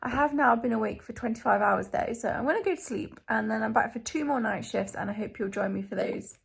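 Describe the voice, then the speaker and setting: A young woman, a junior hospital doctor, talking casually to camera in a vlog. Her voice is croaky from a cough and she sounds tired, with many ums. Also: on the high side, fairly quick. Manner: chatty, tired, filler-heavy.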